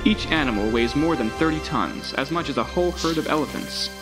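A man's voice: a little high, lively intonation, a little fast, over music, lightly acted. A man voicing a male park tour recording that welcomes visitors and explains the plants and animals. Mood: welcoming.

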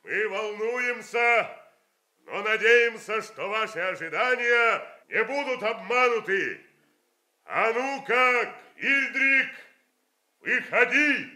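A man's voice: high voice, lively intonation, takes it slowly, bright, forceful tone, full character voice. An actor playing an ingratiating giant showman in a radio play, announcing his act theatrically. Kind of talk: Soviet radio play acting.

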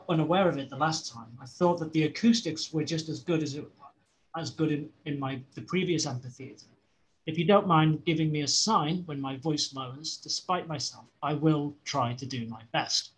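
A man with a Scouse accent reads a philosophy text aloud on a video call, stumbling and restarting phrases as he goes. His voice sits a little high for a man. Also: rough recording. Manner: halting reading with restarts and self-corrections. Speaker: study group member reading aloud. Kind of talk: reading a seminar text aloud.

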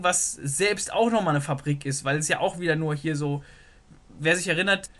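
A man's voice: high-pitched, a bit bright. A man teaching a programming lesson over a screen recording. He thinks aloud while he sketches, with many "so" fillers. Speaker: programming tutor.

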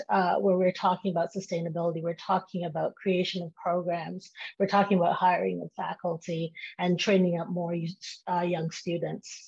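A woman moderates a panel over a video call. Her voice is low, flat and husky, and the audio comes through dull and muffled.

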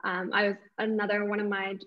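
A woman's voice: medium pitch, flat delivery, a little fast. Young woman on a dull video-call line. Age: late 20s. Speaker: interview guest, lawyer. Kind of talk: conversational interview answers. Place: small indoor room at home. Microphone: wireless earbuds on a video call.

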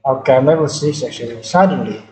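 A man's voice: medium pitch, quick pace. Rambling gamer guy in an echoey room. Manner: rambling, filler-heavy, repeats words. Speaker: gaming channel host. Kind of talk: casual gameplay chat.

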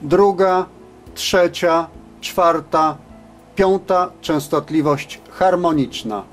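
A man giving a scripted technical narration as a reviewer, in a measured, matter-of-fact way. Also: a little high, faint music behind. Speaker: reviewer of CB radios.

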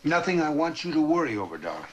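An actor playing a scheming politician who pressures and soothes in a 1970s TV crime drama. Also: male voice, a little high, very animated, fast, muffled recording, a little hiss or hum. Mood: controlled. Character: smooth, scheming male politician soothing and pressuring his lover. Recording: music behind the dialogue.

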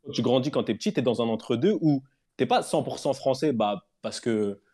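A man tells a personal story as a guest on a casual show. He talks quickly at an ordinary pitch, relaxed and rambling with fillers.

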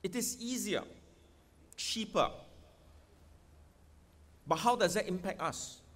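A man's voice: high-pitched, expressive, slow pace, fairly bright tone. A middle-aged man with a Singaporean accent gives an emphatic election rally speech at a podium microphone, firing rhetorical questions at the crowd. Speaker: political candidate. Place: outdoor stadium rally stage.

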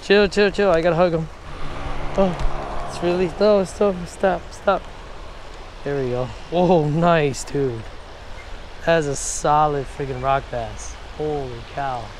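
Slow-paced man, slangy outdoor fishing vlogger. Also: fairly high pitch. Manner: slangy, exclamatory. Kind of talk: casual excited chat to camera while fishing. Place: outdoors beside a shallow river. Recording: outdoor action camera with background noise.

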